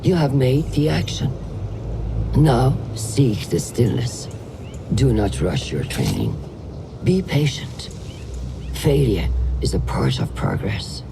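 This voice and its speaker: An actress playing a stern, wise mentor who trains an assassin, speaking in calm, measured instruction. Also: female voice, a bass voice, creaky, background noise, heavily acted. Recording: loud music behind.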